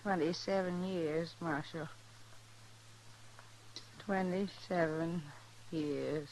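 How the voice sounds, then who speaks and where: An actress playing a middle-aged, long-abused rural wife in a radio western, plain and quietly resolute, with a rural American accent. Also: female voice, on the low side, fairly even intonation. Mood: weary, plain. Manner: plain, unhurried, folksy. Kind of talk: 1950s radio western acting. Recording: noisy old radio drama recording.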